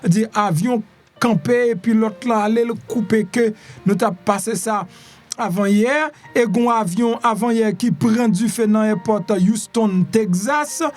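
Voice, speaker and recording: A man with a high-pitched voice and a Haitian accent comments on the news in an informal, conversational way. He repeats phrases and throws out rhetorical questions, with music playing behind him. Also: unhurried, darkish tone. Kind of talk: informal news commentary in Creole with some French and English.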